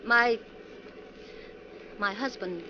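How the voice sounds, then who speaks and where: An American actress playing an anxious, sorrowful young wife, hesitant and emotional, in 1940s radio melodrama style. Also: female voice, fairly high pitch, extremely slow, noisy background. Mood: subdued. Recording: muffled 1940s radio recording.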